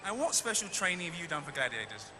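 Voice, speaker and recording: A man co-hosting a TV game show in a large indoor arena, interviewing in a brisk, chatty way. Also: a little high, big pitch swings, pushed and bright, slight background noise. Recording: 1990s TV broadcast in a crowded arena.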